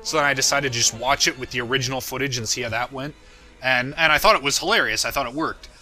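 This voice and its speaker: A man's voice: mid-range voice, fast talker, sharp tone, rough recording. A man giving casual, unscripted commentary as the creator of a fan parody series, self-deprecating and irreverent, swearing freely and often chuckling as he talks.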